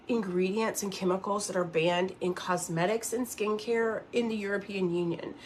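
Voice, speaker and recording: A woman's voice: mid-range voice, fairly bright tone. Chatty woman in her fifties, livestream. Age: 50s. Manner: chatty, rambling, reads viewer comments aloud. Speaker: makeup livestream host. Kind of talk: casual livestream makeup tutorial.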